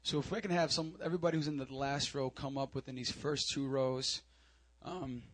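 A hoarse man leading worship, praying and exhorting a church congregation into a stage mic. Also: mid-range voice, fairly even intonation, fairly quick, fairly bright tone. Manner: urgent, exhorting calls to the crowd. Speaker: worship leader and preacher. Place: church service hall. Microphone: handheld stage mic.

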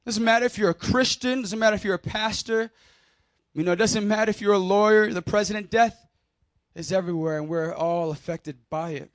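High-voiced, casual preaching man. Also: brisk, no echo. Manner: casual, emphatic preaching with slang and call-and-response. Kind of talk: sermon. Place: conference hall.

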